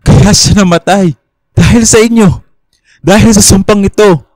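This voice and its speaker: A man's voice: sits high, steady tone, normal speed, a little mellow, no room sound, natural voice. An actor playing an emotional young man haunted by a cursed third eye in a radio horror drama. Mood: anguished outburst. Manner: emotional, pleading, dramatic delivery.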